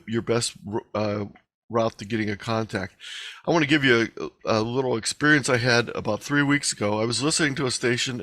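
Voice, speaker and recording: A man presenting an instructional webinar lecture with slides, explaining in a measured, deliberate way over a video call microphone. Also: medium pitch, fairly bright tone, no echo.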